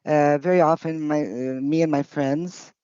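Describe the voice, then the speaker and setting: A woman's voice: deep voice, fairly even intonation, normal speed, dark tone, clear, resonant tone, dry recording. A woman interpreting at a public hearing in short, hesitant, piecemeal phrases. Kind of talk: halting consecutive interpretation. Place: committee hearing. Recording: dull, muffled audio.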